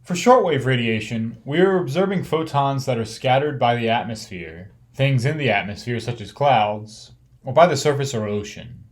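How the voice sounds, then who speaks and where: A man reading a technical course lecture in a measured, explanatory way. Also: medium pitch, expressive.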